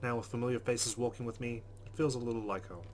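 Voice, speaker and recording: A man's voice: medium pitch, steady tone, quick pace, slight background noise. A man hosting a let's play, reading game narration aloud and adding casual commentary.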